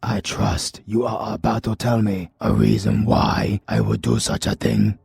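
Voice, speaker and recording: A man's voice: lowish voice, little pitch movement, a little slow, vocal fry, darkish tone, husky, no room sound, studio quality, pitched above the performer's own voice, full character voice. A man voicing a stern, sceptical mob boss in an audiobook, questioning in a pointed, demanding way. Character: stern, sceptical male mob boss, challenging his advocate.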